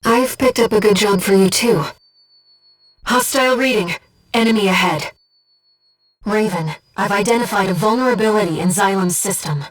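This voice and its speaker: A woman voicing a measured, disembodied female mission operator. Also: medium pitch, distant mic, close to an everyday voice, low-quality recording. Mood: urgent, alert. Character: disembodied female mind-voice guiding a mech pilot, calm, devoted. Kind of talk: video game voice acting, mission operator dialogue.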